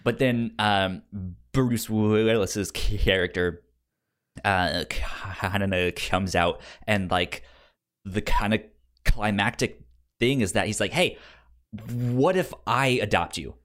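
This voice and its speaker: A man co-hosting a podcast, casually discussing film in a halting way, often repeating syllables and words as he starts. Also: on the low side, a bit bright. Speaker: podcast co-host.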